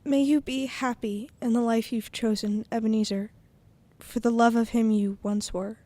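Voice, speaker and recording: An actress playing a gentle, sorrowful young woman who speaks wistfully and earnestly in a radio drama. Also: fairly high pitch, fairly even intonation, moderate pace, dry recording, natural voice. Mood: sad, tender. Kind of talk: old-time radio drama acting.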